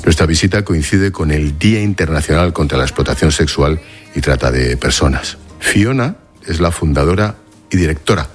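Very deep-voiced male interviewer. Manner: direct, brisk questioning. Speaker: interviewer, reporter. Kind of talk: broadcast interview and reporting.

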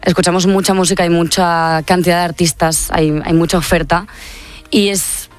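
A woman's voice: on the low side, fairly even intonation, normal speed. A young woman from Catalonia, a singer chatting as a radio guest, upbeat and talkative with many fillers. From Catalonia, Spain. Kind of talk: casual radio interview.

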